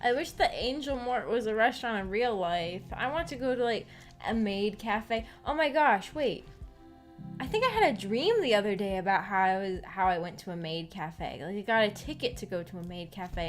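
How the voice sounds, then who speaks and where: A giggly woman hosting a book club stream, chatting casually with viewers and musing out loud. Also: medium pitch, lively intonation, fairly quick, soft background music. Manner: musing, thinking aloud.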